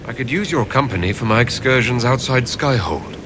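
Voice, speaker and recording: An actor playing a determined fantasy leader in a video game, plain, earnest and direct. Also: male voice, mid-range voice, lively intonation, brisk, a bit bright, slight background noise. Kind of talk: video game dialogue acting.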